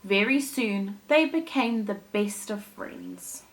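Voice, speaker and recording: A woman who teaches young children, telling a story with expressive, deliberate phrasing. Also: medium pitch. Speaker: primary school teacher.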